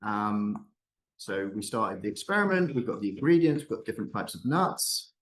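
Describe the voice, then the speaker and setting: A man's voice: on the low side, very animated, normal speed, low-quality recording. A man hosting an online class, handing over and narrating a video casually. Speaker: presenter and host.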